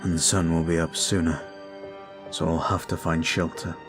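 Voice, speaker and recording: A man voicing a brooding male vampire who is weary and guilt-ridden, talking slowly and softly in an ASMR roleplay. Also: low voice, flat delivery. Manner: slow, soft, confiding. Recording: faint music behind.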